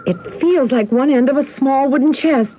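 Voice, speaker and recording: An actress playing an excitable, nervous young wife in a 1970s radio mystery. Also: female voice, high-pitched, moderate pace, natural voice. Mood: breathless excitement. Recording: tinny, noisy old AM radio broadcast.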